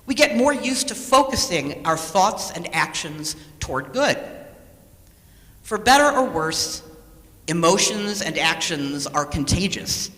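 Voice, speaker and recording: Slow, measured woman preaching in an echoey hall. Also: on the low side, big pitch swings, a bit bright. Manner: measured, deliberate, with pauses for emphasis. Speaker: lay speaker reading a sermon. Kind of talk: sermon read from a script. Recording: echoey hall with long reverb.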